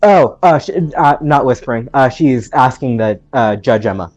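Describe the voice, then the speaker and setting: A woman playing a tabletop roleplay game, speaking casually and hesitantly, with halting starts. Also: very deep voice, expressive, a little mellow, dull audio, soft background music. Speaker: game player.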